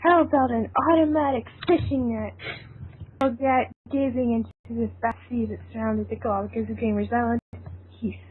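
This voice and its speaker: A woman's voice: on the high side, steady tone, brisk. A woman reading scripted fan fiction narration as an amateur narrator. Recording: tinny, band-limited, noisy amateur recording.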